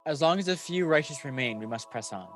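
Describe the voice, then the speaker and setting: A man playing a calm, authoritative messenger angel in a radio play, speaking in a solemn, measured way. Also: on the high side, soft background music. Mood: calm, steadfast.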